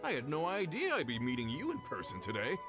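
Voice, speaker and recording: A boastful mine contractor, all salesman swagger. His mid-range voice swoops dramatically high and low as he talks things up. Game music plays underneath. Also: huge pitch swings, fast. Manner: boastful, salesman-like, wide swings in pitch. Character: boastful mine contractor, a self-assured businessman. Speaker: requester.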